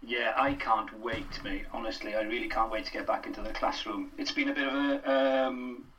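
A man's voice: lowish voice, fairly even intonation, moderate pace, sharp tone, far from the mic, a little hiss or hum, poor audio. A middle-aged Welsh man, a drama lecturer, chatting as a podcast guest with many fillers and restarts. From Wales. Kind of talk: casual podcast interview.

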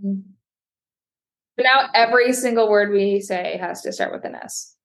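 Upbeat woman therapist chatting frankly. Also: mid-range voice. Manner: frank, upbeat, quick to agree. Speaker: podcast co-host, therapist. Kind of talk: casual chat.

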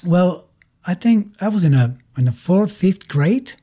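A middle-aged man with a Bulgarian accent, speaking haltingly in non-native English as he tells his story in a casual interview. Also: sits high, animated, dark tone, slightly rough. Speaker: musician being interviewed.

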